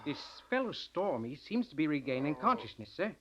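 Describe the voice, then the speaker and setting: A man's voice: fairly high pitch, big pitch swings, brisk, over loud music. A deferential junior naval man in 1940s radio style. His voice moves up and down a lot and sounds anxious and hesitant. The recording is dull and old. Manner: respectful, hesitant subordinate. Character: deferential, anxious naval chief warrant officer.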